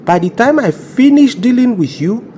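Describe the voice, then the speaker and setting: A self-important Nigerian police officer played by a man with a muted, dark tone. He talks like a zealous scold in a comedy skit. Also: very high-pitched, expressive, moderate pace, slight background noise. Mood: gloating.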